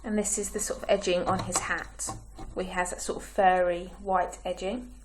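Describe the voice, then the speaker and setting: A woman with a croaky throat, giving slow, patient step-by-step craft instructions as a tutorial host. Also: lowish voice, level tone, noisy background. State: croaky, clearing her throat.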